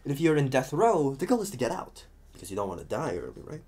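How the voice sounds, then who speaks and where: A man playing a talkative, restless psychopath convict in an ASMR roleplay, protective and scheming, with halting, muttered asides. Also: mid-range voice, big pitch swings, darkish tone, slightly rough. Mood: insistent, protective.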